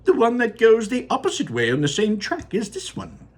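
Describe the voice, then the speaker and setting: A man voicing an eccentric male candy maker, gallant and theatrical, with a slightly husky voice. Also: very high-pitched, wildly swooping pitch, moderate pace, voice pushed up, heavily acted. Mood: breezy.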